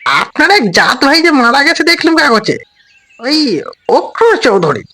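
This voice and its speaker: A man's voice: very high-pitched, sing-song, measured pace, sharp tone, voice pushed up, heavily acted. A man voicing a talkative, complaining, inquisitive landlord in an audio horror story. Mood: gossipy. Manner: complaining, prying, chatty. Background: faint background music.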